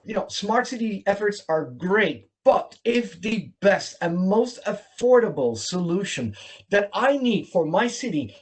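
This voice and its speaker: A man from the Netherlands with a Dutch accent, giving a measured online conference presentation with frequent fillers. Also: sits high.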